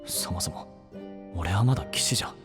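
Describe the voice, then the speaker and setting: A man's voice: low voice, animated, normal speed. A man playing a brusque, self-doubting young runaway in a fantasy audio drama, blunt, casual and brooding. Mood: bitter, downcast. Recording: voice over background music.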